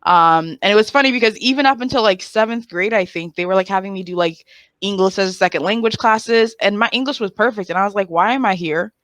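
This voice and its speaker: Haitian-born woman comic, animated and jokey, lots of ums, dry sound. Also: medium pitch, fast, dry recording. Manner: animated, joking, lots of fillers like "um". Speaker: guest, stand-up comedian. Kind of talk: casual interview chat.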